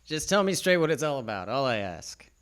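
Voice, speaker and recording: Man acting a terse, animated police lieutenant. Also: mid-range voice, brisk. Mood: skeptical. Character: gruff, skeptical male police detective lieutenant. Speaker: actor in live radio theatre. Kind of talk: 1940s radio drama acting. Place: on stage at a live theatre event.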